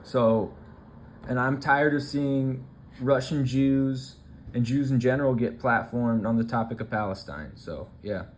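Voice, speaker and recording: A man's voice: medium pitch, measured pace, slight background noise. A man from Alaska hosting a stream, commenting casually and offhandedly as he browses posts. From Alaska, United States. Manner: loose, offhand, rambling. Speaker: streamer host. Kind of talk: casual commentary on screen content.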